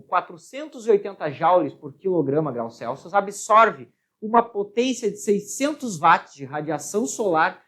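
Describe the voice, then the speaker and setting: A woman with a Brazilian accent explaining a worked physics exercise step by step as a teacher, often adding short check questions. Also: medium pitch. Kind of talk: step-by-step lesson at a board.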